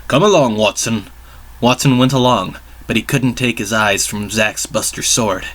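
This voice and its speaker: A man's voice: lowish voice, steady tone, moderate pace, fairly bright tone. A man giving a dramatic reading of a comedic story as narrator, with declarative storytelling delivery.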